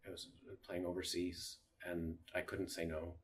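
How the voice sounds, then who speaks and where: Lowish-voiced man telling stories casually. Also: monotone, unhurried. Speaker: musician interviewee. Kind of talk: casual interview storytelling.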